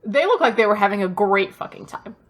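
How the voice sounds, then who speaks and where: A woman co-hosting a podcast in casual chat, telling things in an animated, emphatic way with plenty of swearing. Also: mid-range voice, a little fast. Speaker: podcast co-host. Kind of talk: casual pop-culture chat.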